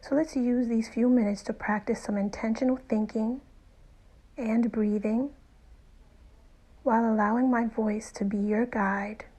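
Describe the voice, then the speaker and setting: A woman narrating a guided meditation in a soothing, unhurried way with deliberate pauses and soft, breathy phrase endings. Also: fairly high pitch, steady tone, low-quality recording. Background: music.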